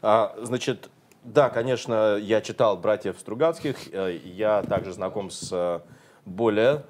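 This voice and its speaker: A man's voice: fairly low pitch, measured pace, darkish tone, a little hiss or hum. A man interpreting an interview consecutively, translating steadily with occasional hesitations.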